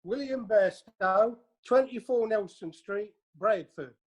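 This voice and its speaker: A woman's voice: medium pitch, takes it slowly, a little mellow, close to an everyday voice. Woman acting a meek, nervous defendant. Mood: deferential. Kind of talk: comic courtroom radio play acting.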